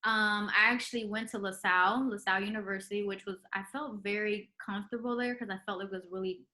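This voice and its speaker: A woman's voice: mid-range voice, fairly even intonation, fast talker, pushed and bright. A young woman, a retired nurse, chatting as an interview guest with lots of ums and likes. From Puerto Rican heritage. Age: young adult. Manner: chatty, many ums and likes, laughs often. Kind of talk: casual interview chat.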